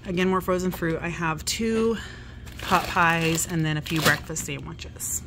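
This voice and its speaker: A woman's voice: on the low side, fairly even intonation, a little slow, very noisy. A woman in her thirties with a Southern US accent, chatting casually and upbeat to the camera, with frequent ums and self-corrections, recorded on a phone held close in a home kitchen. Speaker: vlogger. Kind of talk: casual chat. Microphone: phone held close at arm's length. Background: dishwasher running.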